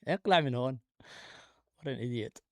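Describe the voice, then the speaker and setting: A man's voice: medium pitch, very fast, dry recording, clean studio sound. A man born in Israel with Arabic as his first language, hosting a live video-chat debate stream and coolly pressing strangers with pointed, sarcastic questions. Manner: probing, calmly sarcastic questioner. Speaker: livestream host.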